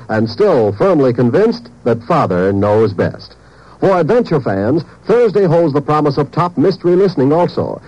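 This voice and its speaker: An American male announcer with a fairly high, very animated voice. He reads a polished 1950s network promo with a salesman's delivery, on a dull old radio recording.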